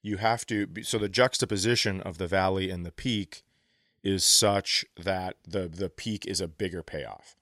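Man, comedian, low voice, dry close recording, casual animated storytelling, swears freely. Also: normal speed, dry recording. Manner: profane, laughs while telling stories; acts out other people's quotes. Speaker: comedian telling stories on a podcast.

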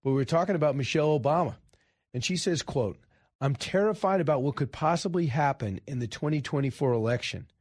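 An American man hosts live talk radio in a slightly high-pitched voice. He sounds close and dry on a studio microphone. His commentary is conversational and rambling, with corrections made along the way. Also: animated, fairly quick, darkish tone, breathy edge, dry recording. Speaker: radio show host.

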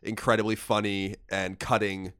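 A man's voice: on the low side, level tone, normal speed, fairly bright tone, clear, resonant tone. A young man co-hosting a podcast in casual chat, sounding stuffy from a cold and reading listener emails aloud. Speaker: podcast co-host.

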